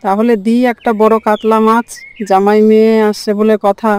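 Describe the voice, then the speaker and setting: A woman's voice: on the high side, flat delivery, moderate pace, a little mellow, smooth, natural voice. A woman voicing a cheeky, exasperated market fish seller who teases in a comedy cartoon. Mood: teasing, exasperated.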